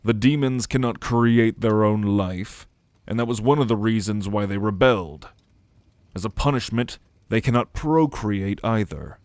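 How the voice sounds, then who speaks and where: A man acting a brooding, dutiful ancient celestial being in an ASMR audio roleplay, speaking in a measured, formal, pensive way. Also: fairly low pitch, darkish tone, no room sound, lightly acted. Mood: grave, pondering. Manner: measured, pensive, formal phrasing with deliberate pauses.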